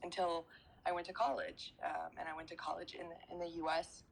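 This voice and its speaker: A woman from France tells her story as an interview guest, often pausing with ums and repeating words before she goes on. Her voice sits a little low for a woman. A faint hiss of noise stays behind her. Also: monotone, creaky, low-quality recording. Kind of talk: interview answers.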